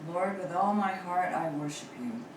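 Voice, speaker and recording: A woman leading church worship who has a sore, rough throat, singing hymns slowly and speaking softly between songs. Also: lowish voice, animated. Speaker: worship leader. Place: church sanctuary. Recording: roomy, distant, noisy church recording.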